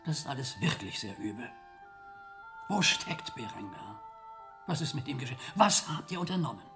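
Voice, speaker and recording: A man's voice: mid-range voice, sing-song, moderate pace, music in the background. An older actor playing a stern, proud abbot in a radio drama, formal, pompous and indignant about his authority. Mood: worried, indignant.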